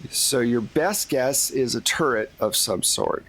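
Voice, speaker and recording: A man in a mid-range voice runs a tabletop horror game as its game master. He talks in a relaxed, descriptive way, laying out what the players find and taking their questions. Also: mid-range voice, measured pace.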